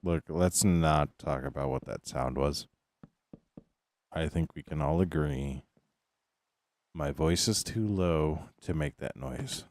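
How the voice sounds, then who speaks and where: A man's voice: extremely low pitch, slow, long pauses, darkish tone, no room sound. A young man casually chatting while streaming a game, rambling with lots of fillers as he muses. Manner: rambling, filler-heavy musing. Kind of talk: casual gaming stream chat.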